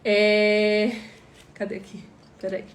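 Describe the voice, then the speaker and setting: A woman's voice: mid-range voice, monotone, slow pace, a little hiss or hum. Emphatic Brazilian woman mentor in echoey room. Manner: emphatic, repeats points, asks rhetorical questions. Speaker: online course mentor. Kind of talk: live stream teaching chat.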